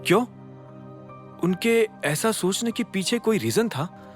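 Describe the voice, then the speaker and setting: A man's voice: high voice, expressive, slow, voice pushed up. A man voicing a grieving, guarded young man in an audio drama, subdued and earnest. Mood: pained questioning. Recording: music under the voice.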